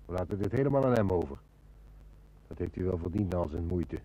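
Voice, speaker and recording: An actor playing a calm, wry, seasoned police inspector, measured and matter-of-fact, in a radio drama. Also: male voice, sits low, low-quality recording. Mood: composed. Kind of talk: Dutch radio detective drama.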